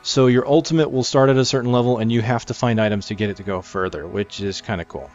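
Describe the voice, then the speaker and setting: A man's voice: mid-range voice, quick pace, a little mellow, muffled recording. A man giving casual gameplay commentary, explaining things conversationally and thinking aloud as he plays. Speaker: gamer presenting a game preview. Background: music behind the voice.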